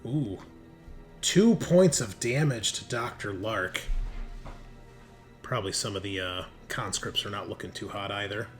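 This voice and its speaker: Low-pitched man narrating a tabletop horror game. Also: unhurried, long pauses. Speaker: game master. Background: background music.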